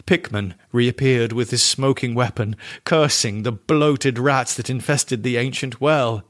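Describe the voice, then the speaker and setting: A man's voice: mid-range voice, unhurried, no echo, studio quality. A man narrating a first-person horror story in a theatrical, suspenseful audiobook manner. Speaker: podcast narrator reading horror fiction.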